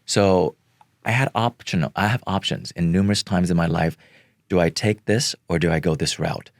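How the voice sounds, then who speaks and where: A middle-aged man from Vietnam, Vietnamese his first language, speaks in a very low, gravelly voice. He talks slowly and earnestly as an interview guest, with many pauses and fillers. The sound is dry and close. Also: no echo. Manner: slow, earnest, pausing often with fillers. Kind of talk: reflective interview talk.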